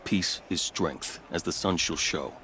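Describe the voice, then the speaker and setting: A man playing a composed, devout and loyal fort officer in a video game, speaking in a measured, formal way. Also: low voice, noisy background. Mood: earnest, reverent. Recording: music behind the dialogue. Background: music.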